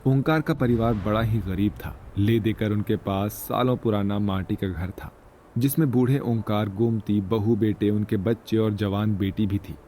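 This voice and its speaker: A man's voice: lowish voice, fairly even intonation, moderate pace, warm tone, a little hiss or hum. A man narrating a scripted moral story as the storyteller.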